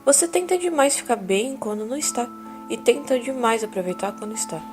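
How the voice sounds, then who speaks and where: Woman voicing a gentle therapist, calm probing questions, music behind. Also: fairly high pitch, brisk, close to an everyday voice. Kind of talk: audio drama acting.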